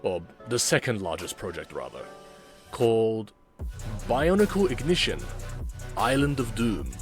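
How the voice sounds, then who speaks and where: A man hosting a casual reaction video as an amateur voice actor, talking in deliberate, halting phrases. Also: fairly low pitch, very animated, faint music behind.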